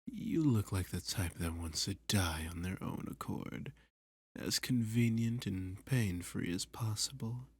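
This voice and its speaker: A man playing a dominant, flirty villain who taunts a hostage in an intimate ASMR roleplay, often dropping to a breathy, teasing whisper. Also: fairly low pitch, no room sound. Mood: threatening, dominant. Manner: slow, teasing, sadistic, possessive.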